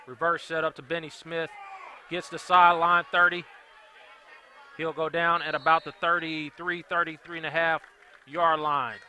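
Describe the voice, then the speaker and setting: A young man calling a high school football game play by play, upbeat and quick. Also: flat delivery, pushed and bright, a faint crowd, a little hiss or hum. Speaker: play-by-play announcer.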